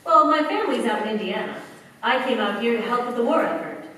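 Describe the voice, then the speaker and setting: A woman's voice: on the high side, animated, fast talker, sharp tone. A woman playing an earnest, flustered young American factory worker in a staged 1940s-style radio play, hesitant and wryly candid. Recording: echoey hall, distant stage mic, faint noise.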